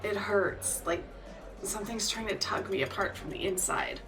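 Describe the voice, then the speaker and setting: A woman's voice: medium pitch, far from the mic, music in the background, natural voice. A woman voicing a jittery young ferret shifter who stammers when nervous. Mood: pained. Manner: nervous, stammering, quick to threaten.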